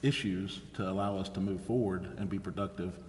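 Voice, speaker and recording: A man's voice: sits low, fairly even intonation, a little fast. A man speaking as a mayor running a council meeting, discussing matters with the other members. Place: indoor council chamber. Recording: reverberant meeting chamber with background noise.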